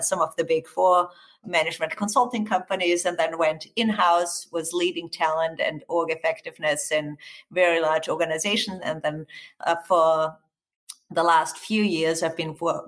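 A middle-aged woman with a blended American and Austrian accent and a fairly low voice. She talks with eager enthusiasm as a podcast guest, stumbling through fillers and restarts. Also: fairly even intonation, normal speed, fairly bright tone. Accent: mix of American and Austrian. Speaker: guest, HR research executive. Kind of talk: podcast interview.

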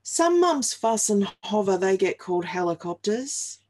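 An Australian woman in her forties reads humorous verse at an unhurried pace. Her delivery is expressive and deliberate, with a wry, playful touch. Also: mid-range voice. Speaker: reader of a humorous picture book. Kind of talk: expressive read-aloud of comic rhyming verse. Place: small home office, indoors.

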